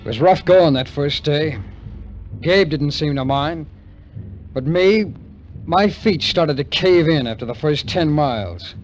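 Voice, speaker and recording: An actor playing a weary, hard-boiled American adventurer in a 1950s radio drama, telling the story in terse, wry phrases. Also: male voice, fairly high pitch, lively intonation, a little slow. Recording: muffled vintage radio recording with music.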